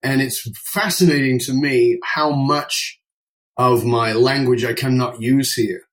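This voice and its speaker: A man's voice: mid-range voice, fairly even intonation, a little slow, poor audio. A middle-aged man with a British accent, speaking conversationally as an interview guest in an emphatic, outspoken manner. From United Kingdom. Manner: emphatic, outspoken, swears casually. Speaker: podcast guest, speaking coach.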